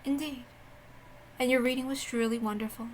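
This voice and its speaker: A woman voicing an ancient, composed, stately witch with a quiet, formal, measured manner in a story reading. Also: fairly high pitch, fairly even intonation, slight background noise, natural voice. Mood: calm, grateful.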